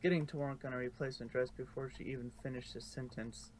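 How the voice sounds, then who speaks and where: A man narrates a story in an amateur reading style with a middle-pitched voice. He halts and corrects himself as he goes. There is faint noise on the recording. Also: steady tone, a little mellow. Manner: halting, stumbles and corrects himself. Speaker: narrator of a fan fiction reading. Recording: noisy amateur home recording.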